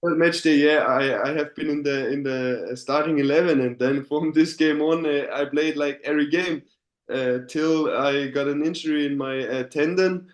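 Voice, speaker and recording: A man's voice: on the high side, steady tone, normal speed. A young man in his twenties with a German accent, a footballer speaking hesitant non-native English with many fillers and restarts in a casual interview. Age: 20s. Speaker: professional footballer, guest. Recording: roomy echo.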